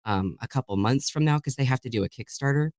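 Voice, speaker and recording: A man's voice: medium pitch, fast talker, a little mellow, no room sound. Enthusiastic man chatting casually, dry close sound. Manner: enthusiastic, chuckles while talking. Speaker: guest voice actor and audiobook narrator. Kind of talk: casual interview chat.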